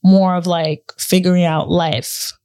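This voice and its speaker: A woman's voice: fairly low pitch, a little slow, clear, resonant tone, dry recording. Casual woman, dry close sound. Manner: casual, relaxed, thinks out loud with many fillers. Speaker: podcast co-host. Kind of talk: casual chat.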